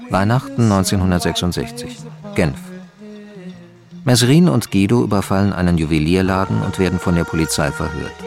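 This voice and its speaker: A man's voice: deep voice, a little fast, gravelly. A man narrating a radio play as a sober, factual chronicler of crimes, in a scripted documentary style. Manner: factual, matter-of-fact. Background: music.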